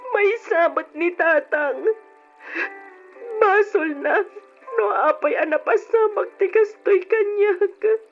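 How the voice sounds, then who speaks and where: A woman's voice: very high-pitched, slow pace. A woman acting as a distraught figure in a tragic Ilocano radio melodrama, drawing out her words tearfully. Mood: anguished, tearful. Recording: dull old radio recording with music.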